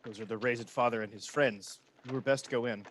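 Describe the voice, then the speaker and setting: A man playing a scheming soldier ensign in an amateur Shakespeare radio play, speaking verse in a measured theatrical way. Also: medium pitch, steady tone, natural voice. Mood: wary. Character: scheming soldier ensign, slyly loyal to his general. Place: home, recorded over a video call.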